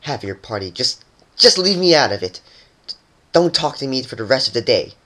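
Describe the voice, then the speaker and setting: A performer voicing a grumpy, menacing female cartoon pony, curt and irritated, sometimes flatly bored. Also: medium pitch, moderate pace. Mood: frustrated. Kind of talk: cartoon character voice in fan fiction reading.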